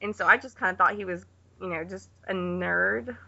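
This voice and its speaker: A woman's voice: lowish voice, normal speed, gravelly, sharp tone. A woman chatting casually about films as a podcast panelist, informal, offhand and blunt. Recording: dull lo-fi podcast audio.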